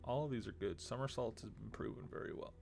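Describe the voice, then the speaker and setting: A man's voice: fairly low pitch, quick pace, gravelly. A man casually commentating on his gameplay, muttering and thinking aloud half to himself. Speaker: video game streamer. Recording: loud game music behind. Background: loud video game music.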